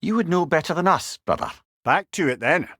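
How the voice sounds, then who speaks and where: A man's voice: a little high, lively intonation, a little fast, fairly bright tone, no room sound, studio quality. An actor playing a wise, witty old Scottish man, an avuncular storytelling companion in a video game, speaking in an articulate, wry way. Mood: grave storytelling. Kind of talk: scripted video game voice lines.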